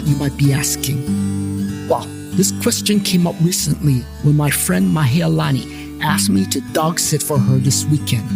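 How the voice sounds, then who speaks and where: Male narrator, comedic first-person storytelling with dry asides, clearly audible plucked guitar underscore. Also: a little high. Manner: wry, irreverent, rambling asides to the listener. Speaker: storyteller narrator and host of a paranormal investigations series. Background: plucked guitar music.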